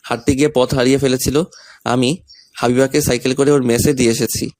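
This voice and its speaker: Young man narrating, medium-pitched voice. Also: fairly even intonation, fairly quick, a little mellow. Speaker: story narrator and male lead. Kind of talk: scripted first-person romantic story narration with dialogue.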